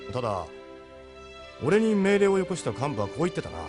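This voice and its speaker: A man's voice: fairly high pitch, very animated, a little fast, music in the background. A man voicing a seasoned, middle-aged ship captain in an audio drama, persuasive, frank and worldly. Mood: musing, explanatory. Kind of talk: Japanese audio drama acting.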